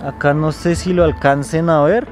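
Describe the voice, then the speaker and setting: A man's voice: fairly high pitch, steady tone, moderate pace, a little mellow, soft background music. A young adult man with a Colombian accent, giving a casual, conversational car review to camera and explaining as he goes. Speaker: car reviewer, video host. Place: inside a car and outdoors by a road.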